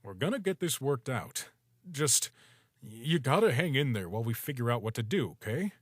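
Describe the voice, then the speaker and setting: A man's voice: very animated, normal speed, dry recording, studio quality. A man voicing a weary, laid-back male skeleton who talks casually in a comic dub. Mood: gentle. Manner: low-key, casual slang.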